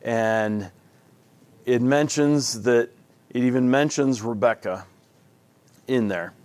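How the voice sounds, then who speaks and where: A man's voice: medium pitch, takes it slowly, a little mellow, no room sound. A man leading a Bible study in a casual, folksy, conversational way, often restarting his sentences.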